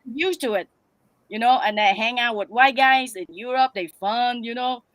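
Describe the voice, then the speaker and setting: A Vietnamese-born woman speaking English with a Vietnamese accent, telling animated stories as a guest in broken English. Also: fairly high pitch, moderate pace, no echo, poor audio. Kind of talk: casual storytelling chat.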